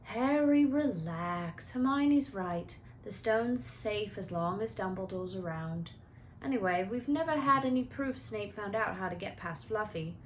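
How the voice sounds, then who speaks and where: A woman voicing an easygoing, reassuring schoolboy best friend from a storybook, with drawn-out, emphatic phrasing. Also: medium pitch, animated, slight background noise, lightly acted.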